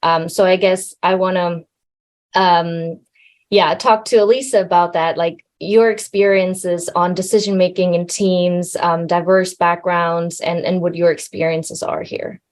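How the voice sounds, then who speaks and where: Give me a young woman with a slightly low voice, earnestly presenting to colleagues. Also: fairly even intonation, unhurried. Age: young adult. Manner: earnest, many um fillers. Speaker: guest speaker. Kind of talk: presentation talk.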